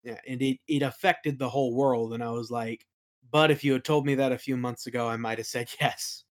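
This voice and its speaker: A podcast guest chatting casually about creative work, a little hesitant and softening opinions as they go. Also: medium pitch, fairly even intonation, fairly quick. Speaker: interview guest, creator of a fantasy audio play. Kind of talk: casual interview chat about worldbuilding.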